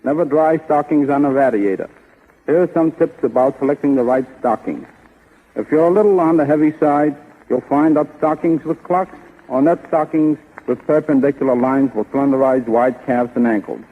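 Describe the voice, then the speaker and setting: A man's voice: medium pitch, normal speed. A man giving a formal, scripted guest talk on 1930s radio, reading out prepared advice. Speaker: guest stocking designer. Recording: muffled, narrow-band 1930s radio broadcast.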